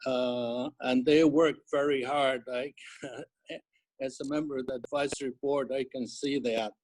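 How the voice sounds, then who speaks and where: A man speaking as an advisory board member in a measured, formal and complimentary manner at an online round table. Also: medium pitch, dry recording, poor audio.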